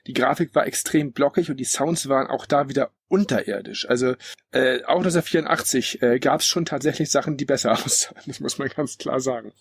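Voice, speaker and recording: A man talks rapidly in a somewhat rough, husky voice as host of a casual retro gaming discussion. He is blunt and opinionated. The sound is dry, with no room on it. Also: mid-range voice, no room sound. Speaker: podcast host. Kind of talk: casual critical discussion of retro video games.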